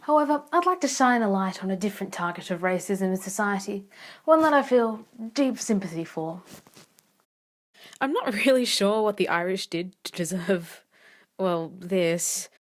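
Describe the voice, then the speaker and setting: A woman's voice: mid-range voice, animated, normal speed. A young woman presenting a scripted analysis as a student narrator. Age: young adult. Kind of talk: scripted video essay narration.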